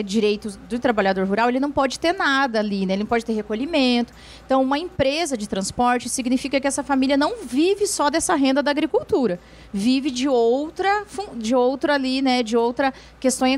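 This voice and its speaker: A woman lawyer answering viewer questions on a TV show, explaining patiently with frequent tag questions and restarts. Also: sits high. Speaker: lawyer, guest expert.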